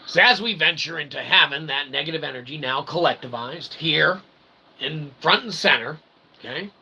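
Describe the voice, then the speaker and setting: A man's voice: fairly high pitch, moderate pace, gravelly, noisy background. A middle-aged man hosting an online show in a rambling comedic monologue, wordy and mock-formal, often tagging phrases with okay or right.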